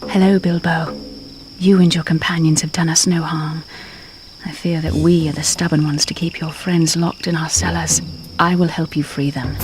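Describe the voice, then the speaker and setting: A gentle elf woman guiding a hero in a fantasy game. Her voice is fairly low, careful and calm. Music plays clearly underneath. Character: gentle, helpful female wood elf ally. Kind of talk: video game cutscene acting.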